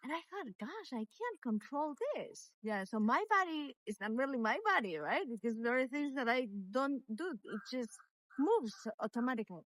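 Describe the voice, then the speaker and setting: A woman's voice: high-pitched, expressive, normal speed, a bit bright, no echo, rough recording. A middle-aged woman from Latin America whose first language is not English, talking about her life in a casual interview. She hesitates, restarts and keeps adding short yeahs. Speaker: meditation group leader, interview guest. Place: outdoors while walking.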